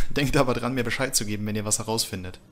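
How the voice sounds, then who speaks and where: A man plays an investigator sidekick in a radio drama. He speaks casually at a middling pitch, calm, cooperative and level-headed. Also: fast talker, natural voice. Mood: calm, helpful. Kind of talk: amateur German radio play acting.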